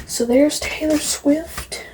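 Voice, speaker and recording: Higher-voiced woman, slow rambling show-and-tell. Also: steady tone, warm tone, slight background noise. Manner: rambling, opinionated, repeats phrases. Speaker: vlogger showing a music collection. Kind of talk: casual show-and-tell to camera.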